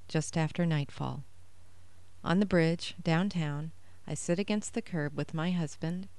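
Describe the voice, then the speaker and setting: A woman reading her own first-person short story aloud in a measured, even way. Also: low-pitched, dry recording.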